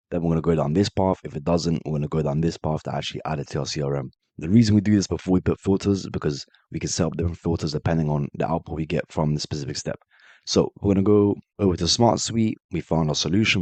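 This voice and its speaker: A man with a very low voice talks quickly through a screen-share tutorial, fighting off a cold. His tone is dark and mellow, and the dry, close sound has no room in it. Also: no echo. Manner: brisk, direct step-by-step walkthrough addressing viewers as guys. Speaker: automation tutorial host. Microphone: voice-over on screen recording.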